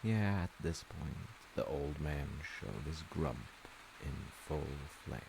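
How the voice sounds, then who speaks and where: A man's voice: extremely low pitch, expressive, takes it slowly, creaky. A man telling a folktale in a casual, conversational way, with wry, hesitant asides. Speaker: storyteller in an audio roleplay, playing a dad. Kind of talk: casual bedtime folktale storytelling. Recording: hissy recording with rain noise. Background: rain and hiss.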